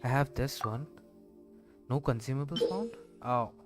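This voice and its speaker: A man's voice: mid-range voice, lively intonation, a little slow. A man giving casual gameplay commentary as a streamer, thinking aloud in a rambling, hesitant way. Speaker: gaming streamer. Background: loud game music.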